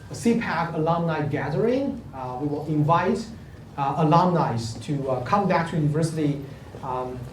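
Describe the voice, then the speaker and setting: A man's voice: fairly high pitch, a little mellow. A man presenting a university program to prospective students, hesitant with many fillers and restarts. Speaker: program speaker at a university info day. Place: lecture hall. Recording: roomy hall, distant mic, faint hum.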